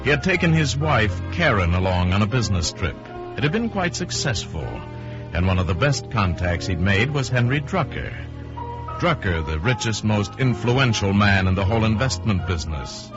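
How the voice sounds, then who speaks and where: A man narrating a suspense tale in a deliberate, theatrical old-time radio drama style. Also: fairly low pitch, big pitch swings. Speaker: storyteller narrator. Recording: muffled old radio recording with music. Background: dramatic music.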